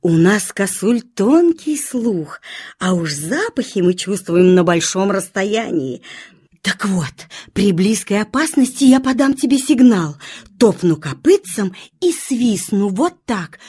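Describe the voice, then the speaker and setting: A woman's voice: medium pitch, sing-song, slow pace, a little mellow, slightly rough, full character voice. A woman playing a caring, patient mother roe deer in a children's audio play, tender and instructive as she teaches her young son. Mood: cautioning.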